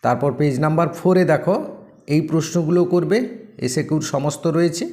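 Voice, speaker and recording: A man's voice: on the high side, fairly quick, dark tone. A man with an Indian Bengali accent teaching exam tips in an informal, instructive way, repeating for emphasis and mixing in English terms. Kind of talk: informal exam-preparation tutorial. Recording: echoey room.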